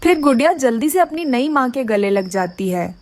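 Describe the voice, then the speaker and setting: High, lively woman storyteller. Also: moderate pace, no room sound. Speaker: narrator. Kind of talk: scripted storybook narration.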